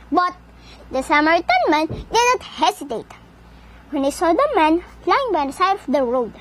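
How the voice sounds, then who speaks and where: Female storyteller, very high voice, lively intonation, deliberate read-aloud delivery for children. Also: rough recording.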